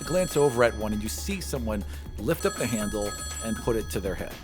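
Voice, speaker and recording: A man's voice: fairly low pitch, lively intonation, brisk, over music. A man working as game master, narrating a tabletop game in a casual, improvised way.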